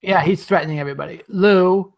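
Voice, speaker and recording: A man playing a puzzled, earnest doctor with halting delivery in a live comic radio parody. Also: sits high, vocal fry. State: has a cold.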